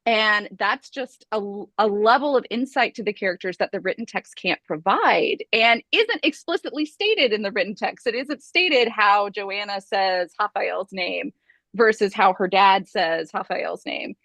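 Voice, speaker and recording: A woman's voice: mid-range voice, measured pace, fairly bright tone, dry recording. A woman with an American accent, an author explaining at length in a casual video-call chat.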